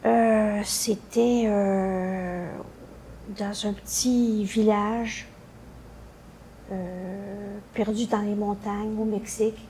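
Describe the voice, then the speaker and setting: An older woman with a Quebec French accent recounting memories in a halting way, searching for her words. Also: mid-range voice, fairly even intonation, dark tone, slight background noise. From Canada. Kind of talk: unscripted personal recollection.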